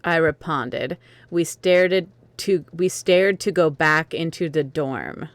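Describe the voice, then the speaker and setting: Woman, deep voice, dry close sound, group dramatic reading narrator. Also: slow, no echo. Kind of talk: dramatic reading of fan fiction with amused commentary.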